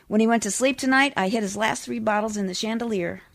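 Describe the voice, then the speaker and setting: A woman playing a long-suffering, sharp-tongued wife in a 1940s-style radio comedy. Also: fairly high pitch, quick pace, no room sound, lightly acted. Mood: weary, wry. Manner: wry, complaining. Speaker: community theatre actor.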